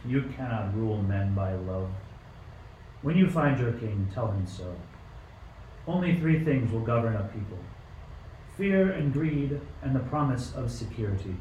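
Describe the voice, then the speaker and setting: A man's voice: medium pitch, lively intonation, a little slow, dark tone, close to an everyday voice. Man playing imperious King Herod, roomy recording. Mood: bitter. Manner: imperious, declamatory. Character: King Herod, stern, suspicious, bitter tyrant ruler. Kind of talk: amateur radio play acting. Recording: roomy, distant mic, faint noise.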